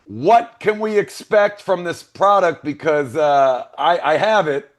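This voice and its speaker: A man hosting a sports interview show, energetic and hyping up his guest. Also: on the high side, a little slow. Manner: energetic, hype-building. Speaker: host.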